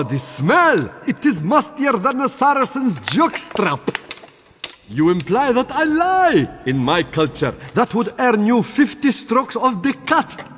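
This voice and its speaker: High, swooping, theatrical genie. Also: male voice, a bit bright, big-room sound, slight background noise, heavily acted. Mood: indignant. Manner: theatrical, broken English, scolding and cajoling. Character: boastful, indignant male genie, theatrical and scrappy. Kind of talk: animated game character dialogue.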